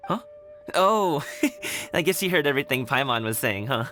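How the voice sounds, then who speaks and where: A man's voice: mid-range voice, animated, normal speed, sharp tone, soft background music. An actor playing an earnest, modest young man in a video game, a devoted son who speaks hesitantly and bashfully. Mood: warm, sheepish. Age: young adult.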